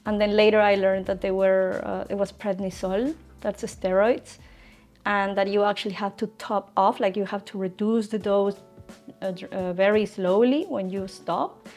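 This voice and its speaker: A woman in her thirties from Ecuador with a Spanish accent, telling stories in an animated, conversational way as an interviewee. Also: medium pitch. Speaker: interviewee, cat owner.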